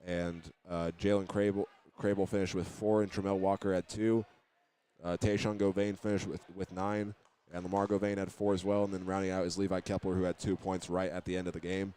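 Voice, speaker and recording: A man's voice: low voice, level tone, fairly quick, mellow, clean tone. A man giving postgame basketball radio commentary, reading out statistics with some hesitation. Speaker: sports play-by-play announcer.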